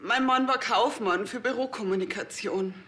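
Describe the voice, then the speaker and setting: An actress playing a defensive, newly widowed housewife in a TV crime comedy, speaking colloquially. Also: female voice, on the high side, moderate pace, sharp tone, slight background noise. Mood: defensive, uneasy. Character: defensive housewife, newly widowed, insisting all was fine.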